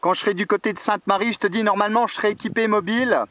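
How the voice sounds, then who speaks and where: A man's voice: sits high, steady tone, rapid-fire, a bit bright, a little hiss or hum. A man working as an amateur radio operator, chatting over a radio contact and often tagging his phrases with small filler checks. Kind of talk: radio contact chat, spelling out call signs.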